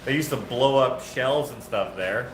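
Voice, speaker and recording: A man's voice: mid-range voice, a little slow. Male streamer in a noisy, echoey shop; casual, rambling, self-correcting. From Canada. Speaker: host of a live build stream. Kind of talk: casual chat while working. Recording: echoey workshop with loud background noise.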